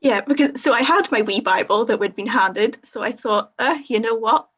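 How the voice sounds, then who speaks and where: A woman's voice: fairly high pitch, moderate pace, a bit bright, breathy edge, dull audio. A young woman with a Northern Irish accent, a podcast guest frankly telling her own story with self-mocking humor. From Northern Ireland. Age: young adult. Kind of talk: casual conversation.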